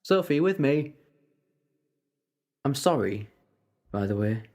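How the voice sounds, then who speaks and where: A man playing a confident, sharp-tongued time-travelling hero in an audio drama, sardonic and self-assured. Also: medium pitch, sing-song, slow pace. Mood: matter-of-fact. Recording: long hall-like reverb.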